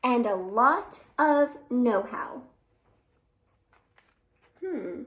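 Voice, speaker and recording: A woman leads a storytime for young children in a high, very lively voice. She takes her time, reading expressively and putting questions and exclamations to her listeners. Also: extremely slow. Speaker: children's storytime host at a library. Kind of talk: animated read-aloud for young children with sung greeting.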